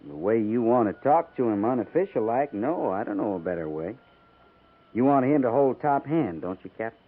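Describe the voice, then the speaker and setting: Thin, boxed-in vintage radio sound frames a frontier army sergeant, played by a male actor. He talks in a folksy, dryly wry way, loyal to his officer. His pitch is average for a man, in the manner of 1950s western drama. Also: lively intonation, normal speed, close to an everyday voice. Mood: wry, candid. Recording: narrow-band, muffled 1950s radio recording.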